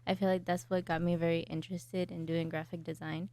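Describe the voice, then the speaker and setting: A young woman from El Salvador, a graphic design student, answering interview questions in a soft, hesitant way with many fillers. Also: on the low side, level tone, brisk.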